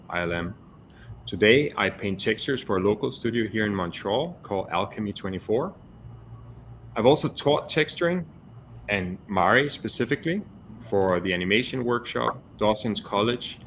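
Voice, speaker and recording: Low-voiced man, fluent and wry. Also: fairly bright tone. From Denmark. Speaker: guest, texture artist, podcast host. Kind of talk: panel self-introduction. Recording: faint background noise.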